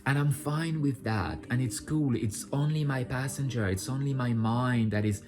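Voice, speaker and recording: A man speaking off the cuff to his viewers, blunt and full of swearing, whose talk swells into impassioned outbursts. His voice sits at an ordinary middle pitch, with faint music playing underneath. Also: moderate pace. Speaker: vlogger sharing personal insights. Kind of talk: unscripted personal monologue.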